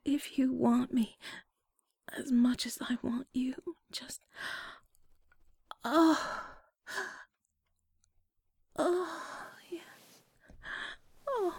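A woman in an intimate audio roleplay, speaking close to the mic in soft, breathy whispers and sighs. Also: high voice, no room sound.

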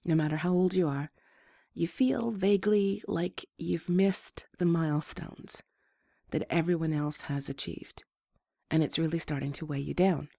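A woman with a rough voice, hoarse from a flu, hosting a gentle and reassuring advice talk to her listeners. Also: deep voice, unhurried, no room sound, clean studio sound. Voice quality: rough, slightly congested voice. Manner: gentle, reassuring, restarts phrases. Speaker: advice show host.

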